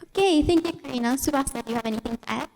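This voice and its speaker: A woman's voice: sits high, racing, vocal fry, a little mellow, in a large hall, a little hiss or hum, rough recording. A young woman from Trinidad and Tobago, a student moderating a panel and asking upbeat questions. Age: young adult. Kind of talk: panel discussion.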